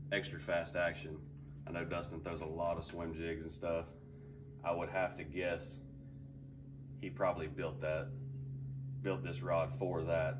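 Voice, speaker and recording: Deep-voiced man, recorded from afar. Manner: relaxed, enthusiastic, thinks aloud with fillers. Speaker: fishing channel host. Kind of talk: casual product review. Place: large open garage with a boat. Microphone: camera several feet away. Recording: distant mic, faint ambient hiss.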